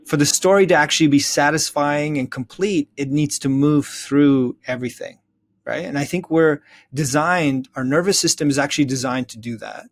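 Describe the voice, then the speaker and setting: A man speaking as an interview guest, reflecting in an earnest, thoughtful and explanatory way. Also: on the high side. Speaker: interview guest, therapist and author.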